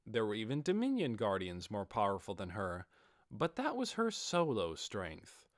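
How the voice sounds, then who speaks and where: A man's voice: on the low side, wildly swooping pitch, moderate pace, no echo, studio quality. A man reading scripted fantasy fiction as an audiobook narrator.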